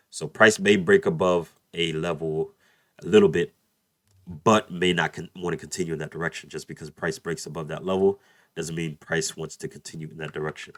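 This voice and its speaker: A man's voice: extremely low pitch, fairly bright tone, dry recording. A man teaching trading over a chart screen share, casual and repetitive, speaking at a desk mic, his voice a little off after a cold. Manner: repeats "beautiful" and "let's play this out", addresses "you guys". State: just over a cold, voice a bit off. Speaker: trading tutor.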